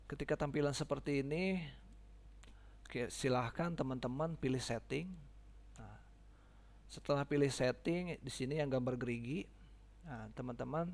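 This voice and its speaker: A man giving a casual, informal step-by-step software tutorial, often checking in with his listeners. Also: medium pitch, gravelly.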